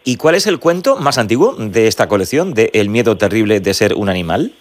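A man's voice: lowish voice, big pitch swings, brisk. A man hosting a radio interview, asking curious questions with fillers like "eh" and "oye".

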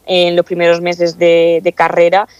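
A woman's voice: on the low side, monotone, a little slow, darkish tone, dry recording, lo-fi sound. Young student woman rambling with lots of fillers, dry close sound. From Castilla-La Mancha, Spain. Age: young adult. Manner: chatty, many fillers and restarts. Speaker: student council member, guest. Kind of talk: interview answers.

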